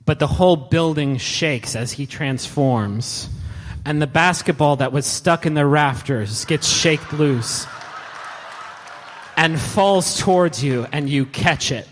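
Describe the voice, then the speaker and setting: A man's voice: on the high side, slow pace, slight background noise. A man narrating a live tabletop game as game master, with dramatic, colloquial storytelling. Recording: echoey hall, live show with music.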